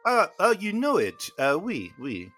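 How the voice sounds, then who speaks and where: A man voicing a pompous fake-French gentleman with a put-on French accent, halting with constant "uh" fillers. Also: high-pitched, wildly swooping pitch, soft background music, full character voice. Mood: hesitant. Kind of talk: improvised comedy bit.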